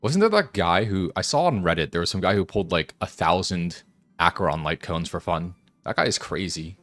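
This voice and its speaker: A low-voiced man streaming a game. He rambles and thinks aloud casually with a lot of pitch movement, in a dry, close recording. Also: lively intonation, brisk, a bit bright, dry recording. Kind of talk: casual live gameplay commentary.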